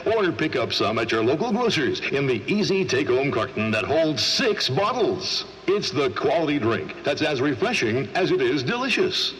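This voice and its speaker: A man's voice: a little high, fairly bright tone. An American male announcer reading 1940s radio advertisements and station announcements in a polished, persuasive manner. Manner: polished, persuasive sales delivery. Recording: slightly noisy vintage radio.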